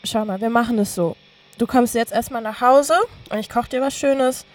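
A woman's voice: fairly high pitch, moderate pace, darkish tone, slight background noise, lightly acted. A woman acting a coaxing, casual young woman. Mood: caring, coaxing. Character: caring, upbeat young woman friend, gently pushy. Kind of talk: naturalistic audio drama dialogue.

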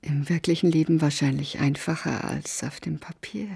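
An actress playing a playful, flirtatious small-town secretary with a breathy, husky, unhurried voice. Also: female voice, deep voice, fairly even intonation, darkish tone, heavily acted. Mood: teasing, intimate. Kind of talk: naturalistic film acting.

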